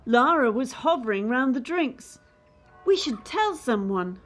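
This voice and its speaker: A woman's voice: high-pitched, expressive, measured pace, faint music behind. A woman playing a distressed, idealistic young gymnast in a radio play, with an anxious, aggrieved and brooding manner. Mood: uneasy, suspicious.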